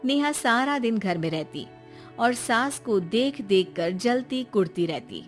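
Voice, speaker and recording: A woman narrating a cartoon story in an even, storybook tone. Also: on the high side, a little slow, faint music behind.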